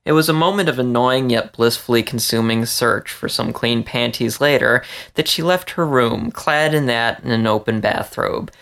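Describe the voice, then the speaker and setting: A man with a creaky voice reading a story aloud as scripted narration. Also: mid-range voice, normal speed, hardly any pauses, fairly bright tone. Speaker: story reader.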